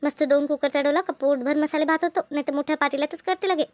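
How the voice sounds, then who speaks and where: Woman as a fast, high-pitched, harried village hostess. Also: steady tone, natural voice. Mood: planning, fretful. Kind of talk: animated cartoon comedy dialogue.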